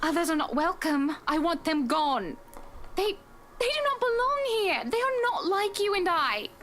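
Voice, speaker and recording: A woman's voice: very high-pitched, very animated, normal speed, fairly bright tone, a little hiss or hum, full character voice. An actress playing a cold, possessive and menacing young woman in a visual novel, cutting and commanding. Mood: agitated.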